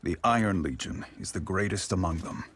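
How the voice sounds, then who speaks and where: An actor playing a battle-hardened mercenary knight, narrating a video game gravely in clipped, deliberate lines. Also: male voice, low voice, a little hiss or hum. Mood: grim, resolute. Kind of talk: dramatic scripted game narration. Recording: loud music under the voice. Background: loud score.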